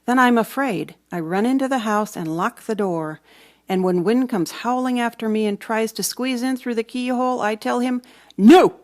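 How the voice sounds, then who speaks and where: A woman reading a storybook aloud for children, with dramatic emphasis and hushed moments. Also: on the high side, moderate pace. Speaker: storybook reader. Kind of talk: expressive children's read-aloud narration.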